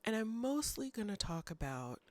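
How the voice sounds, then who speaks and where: A woman's voice: medium pitch, big pitch swings, normal speed, dry recording. Congested woman vlogging about writing. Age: 30s. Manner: thoughtful, meandering with fillers. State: congested from a cold. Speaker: writing channel host. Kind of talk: casual talking-head vlog.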